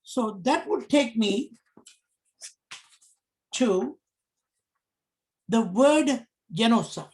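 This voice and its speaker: Man, Sri Lankan accent, middle-aged, slow speaking, deliberate prepared talk. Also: very high voice, expressive, a little mellow, low-quality recording. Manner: slow, deliberate, measured phrasing in short pieces. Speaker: commentator.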